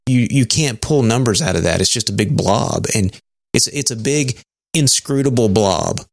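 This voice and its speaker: Man, gravelly, low pitch, podcast co-host, dry studio sound. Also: lively intonation, normal speed, no room sound. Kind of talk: casual podcast chat.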